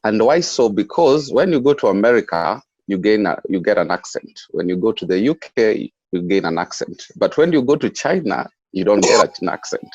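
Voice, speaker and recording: A man's voice: lowish voice, big pitch swings, moderate pace, hardly any pauses, darkish tone, husky, no room sound. A man with a Kenyan accent talking casually as a guest on a lo-fi call. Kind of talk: casual discussion.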